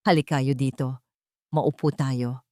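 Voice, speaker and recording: A woman voicing a wealthy father in an audio story, calm, measured and firmly in control. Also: extremely low pitch, no room sound, clean studio sound, voice pushed down. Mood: gentle. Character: wealthy father, controlled, firm, confronting, tender with children.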